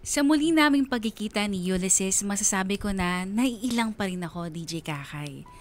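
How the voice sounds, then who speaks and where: A woman's voice: mid-range voice, expressive, normal speed, a little hiss or hum, close to an everyday voice. A woman playing a young Filipino letter writer, narrating earnestly and reflectively in a sentimental radio drama. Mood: wistful, reflective. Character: young woman confiding her troubles by letter.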